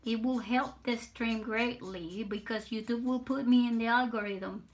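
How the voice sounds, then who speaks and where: An older woman from Puerto Rico with a Spanish and Southern US accent, chatting on her livestream while drawing, nervous, halting and repeating words. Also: on the high side, steady tone. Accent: Puerto Rican Spanish mixed with Southern US. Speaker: livestream artist. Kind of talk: casual live stream chat while drawing.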